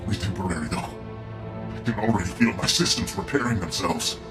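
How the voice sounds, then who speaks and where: An actor playing a tormented, fatalistic cyborg agent in a video game, with a strained, clipped delivery. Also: male voice, low-pitched, moderate pace, over music, close to an everyday voice. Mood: grim, weary. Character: tormented cyborg agent turned against his will, grim, fatalistic.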